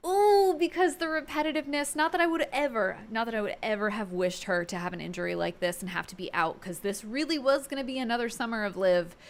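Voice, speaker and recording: Chatty, expressive woman giving casual commentary. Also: on the high side, fast talker, hardly any pauses. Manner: chatty, informal, mildly sweary, emphatic enthusiasm. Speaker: video creator and host. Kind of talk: casual opinion commentary on pro wrestling.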